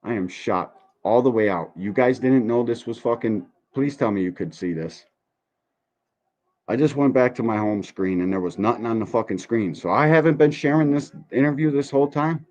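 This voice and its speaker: A man's voice: mid-range voice, normal speed, a little mellow. A man hosting a podcast livestream, ranting casually and bluntly with heavy swearing and complaints. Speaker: podcast host. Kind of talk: casual livestream rant, profane. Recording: dull, muffled lo-fi stream audio.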